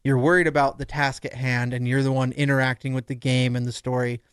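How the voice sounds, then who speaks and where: A man's voice: medium pitch, flat delivery, brisk, smooth, no echo, studio quality. A man in a casual podcast discussion, analysing and explaining as he thinks aloud.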